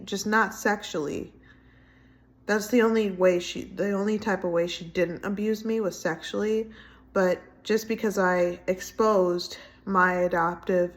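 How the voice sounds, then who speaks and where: A woman in her thirties talking in a casual personal vlog from home, soft-spoken and rambling with many fillers. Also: mid-range voice. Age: 30s. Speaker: vlogger. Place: indoors at home, window closed.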